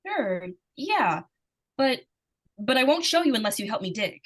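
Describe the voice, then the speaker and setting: A woman's voice: on the high side, very animated, unhurried. A woman playing an earnest, determined young schoolgirl who tells her story in plain, insistent words in an old-time radio suspense drama. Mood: eager, coaxing.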